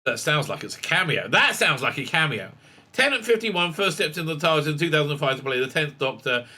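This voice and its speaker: Man, fan news host, bright forceful voice, slightly high pitch, excitable, lots of "uh" and "right?". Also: brisk. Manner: excitable, many fillers and asides, laughs often. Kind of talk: casual commentary reading news aloud.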